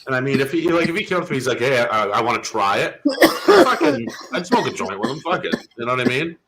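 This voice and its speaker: A man in his thirties from Massachusetts, telling profane, animated stories in a casual chat and acting out the quotes. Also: mid-range voice, quick pace, a crowd in the background.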